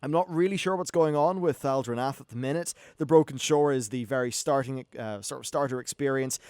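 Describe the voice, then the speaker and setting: A man's voice: medium pitch, brisk, darkish tone, clear, resonant tone, dry recording, clean studio sound. A man giving casual, off-the-cuff video game commentary as host, chatty and wry, with some swearing.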